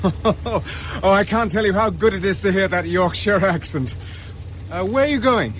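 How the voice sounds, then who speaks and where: An actor playing a wounded, dogged young British fugitive on the run, muttering urgently to himself with effort in a radio drama. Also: male voice, high voice, lively intonation, a little slow, fairly bright tone. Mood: weary, relieved. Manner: groaning, effortful, urgent self-talk. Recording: noisy outdoor sound effects behind voice.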